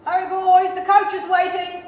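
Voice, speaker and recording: A woman's voice: very high-pitched, monotone, moderate pace. A woman playing a stern, scolding schoolteacher in a 1930s radio drama style. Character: stern, scolding schoolteacher hurrying lazy pupils. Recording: very echoey reverberant hall.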